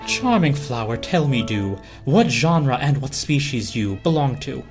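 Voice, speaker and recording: A woman's voice: a bass voice, sing-song, measured pace, a little mellow, soft background music, full character voice. A woman voicing a lovestruck daisy that gushes ornate, pleading rhymed verse in a dramatic storybook reading. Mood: smitten courting.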